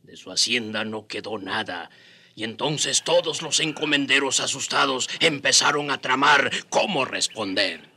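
A man's voice: mid-range voice, lively intonation, a little slow, sharp tone, a little hiss or hum, heavily acted. A man playing a proud native rebel, telling his story with wry confidence in a radio drama.

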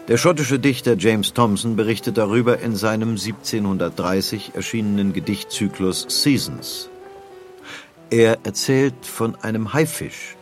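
A man reading scripted narration for an audio history documentary. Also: low-pitched.

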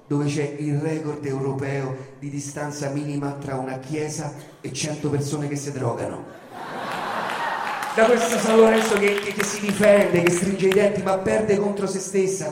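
A man with a Roman accent performing a satirical theatrical monologue in an emphatic, repetitive litany. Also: fairly high pitch. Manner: emphatic, repetitive litany delivery swinging from murmur to shouting. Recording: echoey reverberant space, music behind. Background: music.

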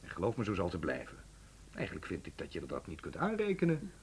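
Deep-voiced, brisk, frank man. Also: wildly swooping pitch, breathy edge, heavily acted. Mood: frank, reproachful. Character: frank male colleague, calmly defending someone. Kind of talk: Dutch radio drama acting.

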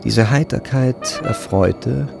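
A man narrating a literary radio drama in a measured, precise storytelling manner. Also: medium pitch, vocal fry. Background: faint music.

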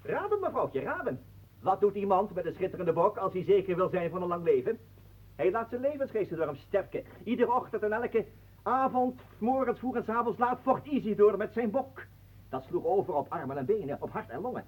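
A man's voice: high-pitched, expressive, brisk, slightly rough. An actor playing a sly, teasing showman and storyteller in a theatrical radio drama. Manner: theatrical, insinuating. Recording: muffled, phone-like old radio recording.

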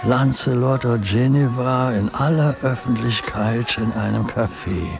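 Gravelly, slow, brooding commentator. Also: male voice, medium pitch, over music. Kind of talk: literary radio drama acting.

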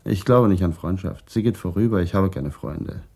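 A reflective middle-aged policeman in a radio crime play. His voice is mellow and husky with some creak, and he talks in a measured, guarded manner. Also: low-pitched, full character voice. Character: calm, reflective Papuan-Dutch traffic policeman being questioned. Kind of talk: German radio crime drama acting.

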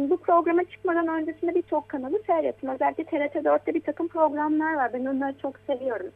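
An adult woman talking conversationally as a programme guest, encouraging and gently explaining things as if to a child. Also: sits high, creaky. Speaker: guest commentator on children's media. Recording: tinny, phone-like narrow band.

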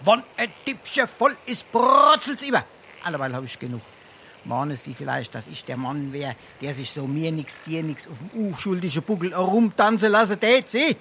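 Brief: grandiloquent scrounging rogue, Darmstadt dialect, raised pitch, stage comedy, noisy recording. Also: male voice, animated, moderate pace, sharp tone. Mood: indignant bluster. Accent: Darmstadt Hessian dialect. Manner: theatrical, grandiloquent, blustering. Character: brazen, pompous, scrounging rogue of 1840s Darmstadt.

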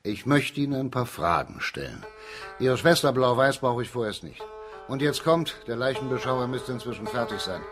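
A man's voice: medium pitch, animated, normal speed, loud music behind the voice, natural voice. An actor in a radio play voicing an authoritative, probing male judge of ancient China in formal, commanding speech. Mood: urgent, commanding. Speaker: actor in a radio crime drama.

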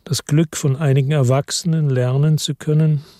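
A man's voice: a little high, steady tone, moderate pace, mellow, dry recording, clean studio sound, natural voice. An actor playing a world-weary middle-aged ex-cop who narrates his story in the first person in a radio drama, laconic and reflective.

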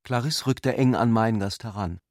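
A man's voice: mid-range voice, moderate pace, no echo, studio quality. A man reading scripted literary narration for a radio play as its storyteller.

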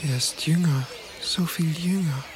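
A man's voice: a little high, a little slow, background noise, lightly acted. A man playing a haunted, anxious young husband in a radio drama, speaking with agitated intensity. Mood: shocked. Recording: loud music behind the voice.

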